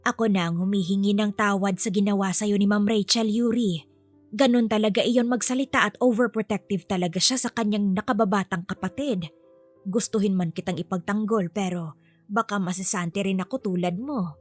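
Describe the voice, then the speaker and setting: A woman's voice: mid-range voice, steady tone, normal speed, no echo, faint music behind, lightly acted. Woman acting a sad, apologetic maid, dry sound. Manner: gentle, sorrowful. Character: apologetic, timid female household servant, sad and sympathetic.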